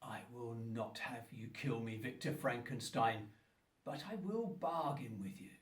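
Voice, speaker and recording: Guy doing a lonely brooding monster in a radio drama, really slow n grand, echoey room. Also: mid-range voice, lively intonation, lightly acted. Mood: menacing. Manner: slow, grandiloquent, pleading and menacing delivery. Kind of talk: theatrical radio play acting. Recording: roomy echo, distant from the mic.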